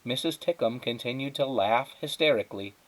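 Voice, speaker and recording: A man reading a children's story aloud as a teacher, in expressive narration. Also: medium pitch, a little fast, poor audio.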